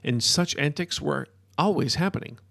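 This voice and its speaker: Gravelly male storyteller, dry sound. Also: fairly high pitch, moderate pace, a bit bright, no room sound. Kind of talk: scripted story narration.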